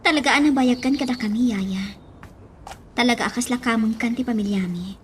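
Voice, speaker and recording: A woman's voice: on the high side, a little fast, a little hiss or hum, lightly acted. A woman acting as an affectionate young lady talking to her nanny in an Ilocano radio drama.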